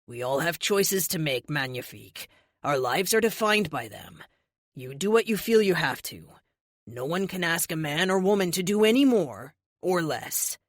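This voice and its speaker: A calm, unyielding ancient warrior hero, as a woman reads him aloud. He speaks firmly with a light, amused edge, and his pitch rises and falls in lively swings. The recording is close and dry. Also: moderate pace, no room sound.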